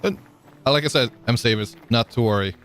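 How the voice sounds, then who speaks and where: A man's voice: mid-range voice, brisk, fairly bright tone, faint music behind. A man live-streaming a game, chatting casually, thinking aloud with frequent ums and answering viewers in chat.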